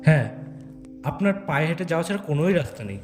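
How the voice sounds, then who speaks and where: Casting: actor as older village tea-stall uncle, fairly high male pitch, plain-spoken, grumbling, music underneath. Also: lively intonation, quick pace, close to an everyday voice. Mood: matter-of-fact. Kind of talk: dramatised storytelling for a cartoon.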